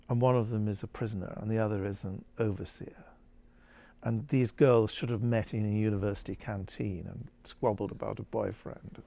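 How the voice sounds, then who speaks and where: Thoughtful man with a creaky, gravelly voice. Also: fairly low pitch, dark tone. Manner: thoughtful, halting, searching for words. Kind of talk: reflective interview commentary.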